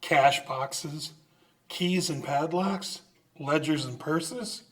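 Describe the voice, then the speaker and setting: A man playing a crotchety, stubborn old miser who stammers and exclaims in an old-time radio drama. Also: a little high. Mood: shaken, pleading. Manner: halting, exclamatory, stammering in fright.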